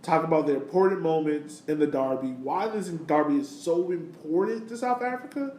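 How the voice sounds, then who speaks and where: Male American podcast host, higher register, informal and slangy, recorded in an echoey, noisy room. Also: expressive, normal speed, darkish tone. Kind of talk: casual sports podcast chat. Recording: roomy echo, background noise.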